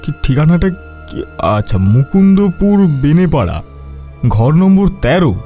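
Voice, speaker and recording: A man plays a young, educated traveller in a spooky audio story. The voice is soft-edged and very expressive in pitch, with a polite, questioning manner. Music runs faintly behind. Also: a little slow. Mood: reading, puzzled. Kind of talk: audio horror story acting.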